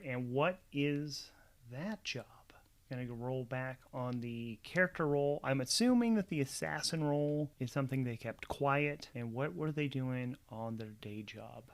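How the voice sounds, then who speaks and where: A man's voice: medium pitch, fairly bright tone. A man narrating a solo tabletop game as host and game master, in a conversational storytelling manner.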